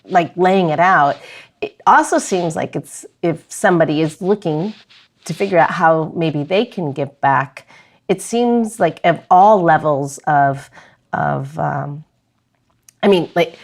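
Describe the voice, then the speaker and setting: A woman's voice: low-pitched, slow. A woman co-hosting a casual interview, thinking aloud in rambling, circling sentences. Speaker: podcast co-host.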